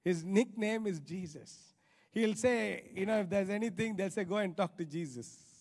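A man's voice: high-pitched, moderate pace, vocal fry, a little mellow. A middle-aged man with an Indian accent preaching a sermon emphatically, repeating phrases for stress. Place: large church auditorium stage. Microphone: handheld microphone. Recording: reverberant hall sound.

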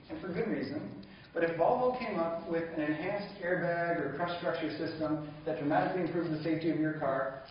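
A man's voice: on the high side, slight background noise. A man giving an enthusiastic conference keynote, laughing along with the audience at times. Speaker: keynote speaker. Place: large conference hall. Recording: echoey hall, lo-fi, from a distance.

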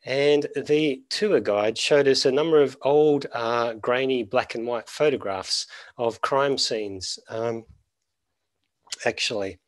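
Man in his forties, mid-pitched, relaxed lecturer on a headset mic, lots of "uh"s. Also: slow, no echo. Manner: conversational, many fillers and restarts. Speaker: keynote speaker, veterinary professor. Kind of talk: conference keynote over video call. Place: small office at home.

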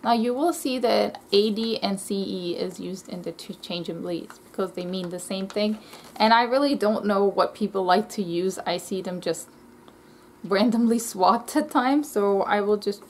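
A young woman from Israel vlogs from her small bedroom, reading notes with plenty of ums and quick self-corrections. Her mid-range voice carries a gravelly edge, and the webcam mic picks up faint room noise. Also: mid-range voice, darkish tone. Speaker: language vlogger. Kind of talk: casual educational vlog. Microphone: laptop webcam mic.

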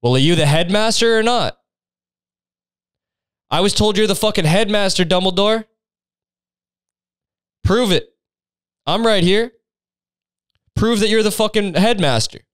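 A man's voice: mid-range voice, no room sound, lightly acted. A man playing a brash, crude young male student who swaggers, swears and badgers in a comedy bit.

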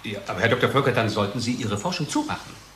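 Sardonic manager, echoey room. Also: male voice, fairly low pitch, animated, fast, fairly bright tone, a little hiss or hum. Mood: sardonic, indignant. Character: indignant, sardonic male research manager. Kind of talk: radio play acting.

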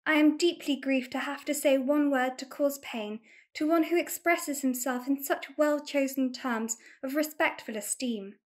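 A woman's voice: high voice, moderate pace, hardly any pauses, lightly acted. A woman playing an effusive, lovelorn young lady with florid, formal delivery in a radio-play farce. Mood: grieved, rapturous.

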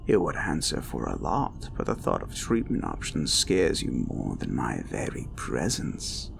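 Male incubus demon, cruel, predatory, mocking, widely swooping pitch, gravelly fry, breathy whispers, dark audio roleplay. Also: huge pitch swings, background noise, exaggerated voice. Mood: savoring cruelty. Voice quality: creaky, breaking into breathy whispers. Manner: slow, menacing, taunting, drawn-out. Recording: ominous music behind the voice.

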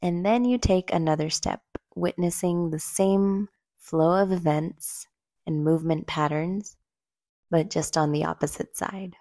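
A woman leading a guided meditation in a gentle, soothing, unhurried way, leaving long pauses between short phrases. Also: fairly low pitch, dry recording, clean studio sound. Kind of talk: slow guided meditation narration.

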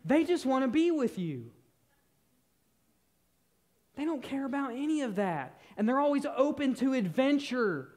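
A young man preaching a sermon on a church stage, earnest, shifting between loud emphasis and soft, near-whispered moments. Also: extremely high pitch, big pitch swings, a little slow, long pauses. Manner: paces the stage gesturing with both hands. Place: church stage in a large hall.

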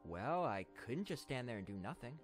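A man's voice: medium pitch, sing-song, a little fast, lightly acted. A man voicing a brave, cocky yet modest young cartoon stallion squire. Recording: music under the voice.

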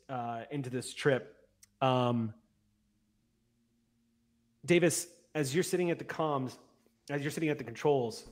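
A man of about forty runs a tabletop roleplay session. He narrates in a measured, deliberate manner with a mid-range voice, speaking close to a desk microphone. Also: mid-range voice. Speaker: game master. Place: indoor room at home, on a video call. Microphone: close desk microphone on an arm.

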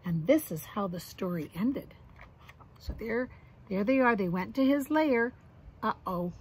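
A middle-aged woman with a husky voice reading a children's picture book aloud expressively, adding little asides for young listeners. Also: mid-range voice, lively intonation, unhurried, rough recording. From Canada. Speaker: grandmother reading to grandchildren. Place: outdoors on a deck or yard among trees.

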